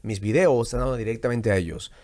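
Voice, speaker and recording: Podcast co-host, man, American accent, energetic, switches into English phrases. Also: mid-range voice, quick pace. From immigrant to the United States. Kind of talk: casual business podcast talk.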